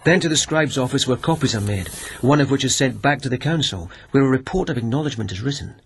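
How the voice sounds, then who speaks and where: An actor in a radio drama playing a gentle, lovelorn police lieutenant, speaking in a deliberate, wry, musing way. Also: male voice, medium pitch, expressive, gravelly, slightly rough. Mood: measured, explanatory.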